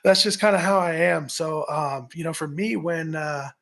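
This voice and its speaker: A raspy, gravelly male voice pitched a little high. He is a musician guest in a casual interview. He speaks with upbeat, coach-like drive. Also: normal speed. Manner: energetic, pep-talk delivery with repeated "right?".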